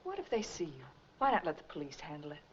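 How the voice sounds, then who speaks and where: A wary, sharp-tongued American mother in a 1940s noir film. She talks fast in a lowish voice, brisk and questioning, on a muffled soundtrack with strong noise. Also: huge pitch swings. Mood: worried, brisk.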